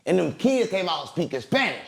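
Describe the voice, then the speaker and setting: A man talking as an interview guest in casual, rambling chat, animated and repeating his catchphrases. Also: high voice, brisk, vocal fry.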